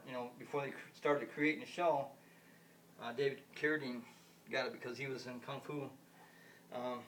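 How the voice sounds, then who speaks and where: A man in his fifties from the United States, rambling through a solo monologue, often repeating himself and circling back to the same points. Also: mid-range voice. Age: 50s. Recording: distant mic with faint background noise.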